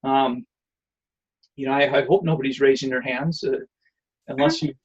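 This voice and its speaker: A man's voice: medium pitch, fairly even intonation, moderate pace, breathy edge, lo-fi sound. A man giving an online presentation and answering questions, rambling with many fillers and restarts. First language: not Hebrew.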